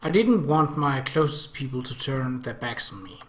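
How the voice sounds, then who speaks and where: A man's voice: mid-range voice, lively intonation, moderate pace. Man reading aloud in an echoey room. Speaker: let's play host reading a game aloud. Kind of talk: reading visual novel narration and dialogue aloud.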